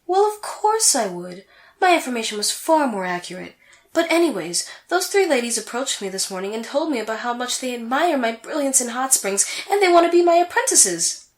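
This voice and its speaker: Haughty, high-voiced anime sorceress, echoey. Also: female voice, sing-song, a little fast. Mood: boastful, haughty. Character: vain, haughty young female sorceress rival from an anime. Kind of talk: comedic anime fan audio drama acting.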